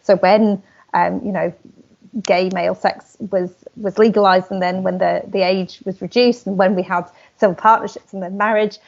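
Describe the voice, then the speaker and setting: Gravelly woman interviewer. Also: mid-range voice, steady tone, normal speed, darkish tone, highs cut off. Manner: thoughtful, with fillers and hesitations. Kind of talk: interview conversation.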